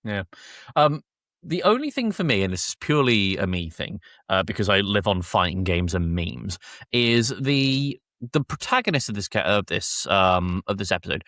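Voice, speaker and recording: A man's voice: on the low side, a bit bright, no room sound, studio quality. A man hosting a casual review podcast, talking in an explanatory way and often correcting himself as he goes. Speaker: podcast host. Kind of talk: casual review chat with plot summaries.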